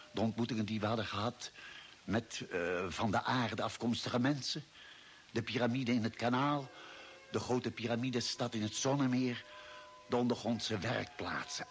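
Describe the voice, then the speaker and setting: An actor playing a grave expedition member, narrating a space voyage in a radio play with measured, solemn storytelling. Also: male voice, medium pitch, a little hiss or hum, natural voice. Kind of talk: scripted dramatic narration.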